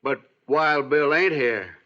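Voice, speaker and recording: On a muffled old radio western, a male small-town constable with a forceful, cutting voice. He is smug and hostile, folksy in his wording but with menace underneath. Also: a little high, animated, full character voice. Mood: smug threat. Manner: folksy, drawn-out, veiled menace. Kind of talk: 1940s-50s western radio drama acting.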